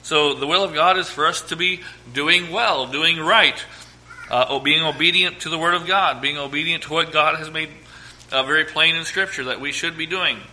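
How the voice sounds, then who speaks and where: Hoarse male pastor with a cold, bright and slightly high voice, preaching scripture to a congregation. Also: fairly even intonation, low-quality recording. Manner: reads scripture slowly and emphatically, asks congregation to quote verses. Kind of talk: sermon.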